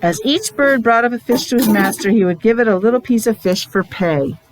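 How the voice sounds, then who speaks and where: A woman, a teacher reading a picture book aloud to young children, pausing to explain and ask questions in simple words. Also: on the high side, low-quality recording. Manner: child-directed, asking questions, repeating words for emphasis. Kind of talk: animated read-aloud with casual explanatory asides.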